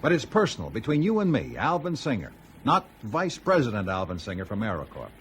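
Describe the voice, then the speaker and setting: An actor playing a smooth, insistent male company executive in a radio drama, courteous and deliberate in his phrasing. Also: mid-range voice, wildly swooping pitch, slight background noise. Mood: persuasive.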